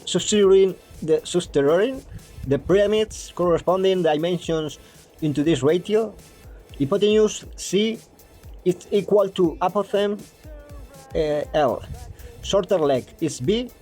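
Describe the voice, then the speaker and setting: A man explaining geometry step by step, pausing and restarting often and stumbling when he reads English passages aloud. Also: high-pitched, darkish tone, soft background music. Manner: hesitant, with frequent restarts and fillers. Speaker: math explainer.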